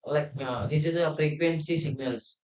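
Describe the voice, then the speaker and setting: A man's voice: fairly high pitch, normal speed, a little mellow. A man giving a hesitant, unscripted explanation, with many fillers and restarts. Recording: roomy, dull lo-fi sound.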